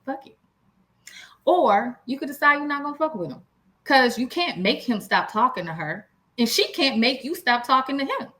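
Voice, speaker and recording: A woman's voice: high-pitched, sing-song, moderate pace, fairly bright tone. An American woman co-hosting a casual relationship talk, sharing anecdotes in a frank, slangy and emphatic way. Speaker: podcast co-host.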